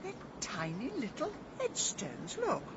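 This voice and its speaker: Impatient amateur detective, English accent, sharp-tongued, animated pitch, radio drama, noisy background. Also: female voice. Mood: curious. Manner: brisk, sardonic. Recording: dull sound, clear background noise.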